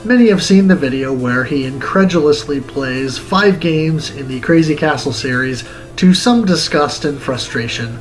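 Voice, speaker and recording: A man with a fairly high voice narrates a scripted game review as the show's host. His delivery is measured and wordy, like a presenter explaining things. Music plays softly behind him. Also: poor audio. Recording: music bed under the voice.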